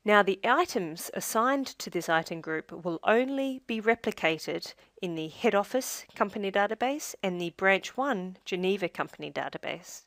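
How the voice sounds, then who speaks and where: A woman narrating a scripted software tutorial as a demonstrator, in a measured, step-by-step instructional way. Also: medium pitch, expressive, dry recording, clean studio sound.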